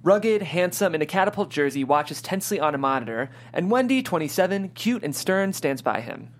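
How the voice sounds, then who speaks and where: A man with a somewhat high-set voice hosts a table read. He moves between presenting the show and narrating stage directions from a script. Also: expressive, brisk.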